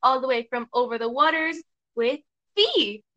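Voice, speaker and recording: Female podcast host, high-pitched and bright, informal and jokey. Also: animated, normal speed, lo-fi sound. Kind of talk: casual podcast hosting.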